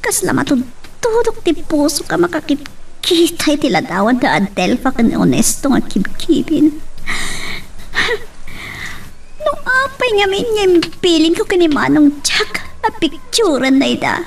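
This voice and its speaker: High-pitched, animated young Ilocano woman. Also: moderate pace, creaky, a little hiss or hum, exaggerated voice, poor audio. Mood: heartbroken, jealous. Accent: Ilocano, Philippines. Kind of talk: radio romance drama acting.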